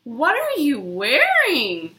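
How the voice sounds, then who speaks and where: An eager, proud little boy acted out by a woman from a storybook, excitable and emphatic. The voice is high and very lively, heard in a roomy, echoing space. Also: slow pace, exaggerated voice. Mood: curious. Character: young George Washington, eager, proud, determined boy. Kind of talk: dramatic storybook character reading. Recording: roomy, echoing room sound.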